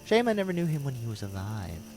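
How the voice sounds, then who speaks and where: A man's voice: mid-range voice, big pitch swings, fast, a little mellow, faint music behind, lightly acted. A man playing a devilish, mocking demon tempter in a fan audio drama, speaking with theatrical mock courtesy and ornate words. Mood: sly amusement.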